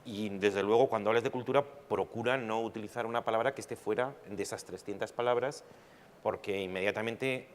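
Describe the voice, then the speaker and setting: A man's voice: on the low side, fairly quick. A man speaks as a panelist, reflective and argumentative, often posing rhetorical questions. The sound is roomy with long hall reverb and a faint background hum. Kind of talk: panel discussion. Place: large hall at a public panel.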